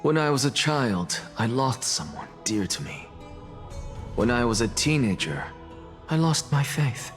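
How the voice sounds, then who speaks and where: A man's voice: medium pitch, sing-song, measured pace. An actor voicing an arrogant, self-sacrificing male scholar in a video game, theatrical, self-assured and dismissive. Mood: defiant, grandiose. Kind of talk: dramatic video game voice acting. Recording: long reverb, music underneath.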